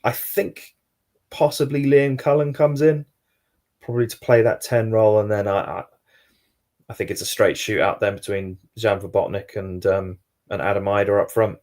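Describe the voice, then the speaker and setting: Geordie male guest, measured and thoughtful, lower voice. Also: fairly even intonation, a little mellow. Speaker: guest pundit. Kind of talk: casual football podcast chat.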